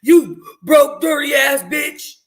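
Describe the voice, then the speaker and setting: A woman's voice: high-pitched, lively intonation, slow, soft background music, pitched above the performer's own voice. A woman voicing a fierce, mouthy young woman from a novel, blunt, sassy and confrontational. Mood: scornful, fierce. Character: fierce, loyal, mouthy young female cousin.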